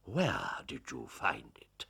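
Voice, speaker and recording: An actor playing a shrewd, authoritative Victorian prime minister in a period drama, deliberate, with a gravelly, husky voice. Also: male voice, a bass voice, huge pitch swings, bright, forceful tone, full character voice. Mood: shrewd suspicion.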